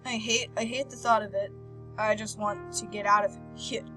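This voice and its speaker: A woman hosting a game playthrough, reading visual novel text aloud with animated, casual reactions and stumbling over words now and then. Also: mid-range voice, normal speed, low-quality recording. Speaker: host of a video game playthrough. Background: game background music.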